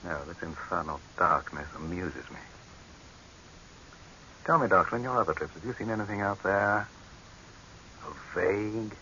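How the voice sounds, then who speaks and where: Old hissy radio drama. Male dictator: deep, pompous, used to being obeyed, quick to irritation. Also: animated, pushed and bright, lo-fi sound. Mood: musing. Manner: pompous, condescending, commanding. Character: pompous, imperious male dictator, coolly amused, easily irritated. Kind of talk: 1930s radio horror drama acting.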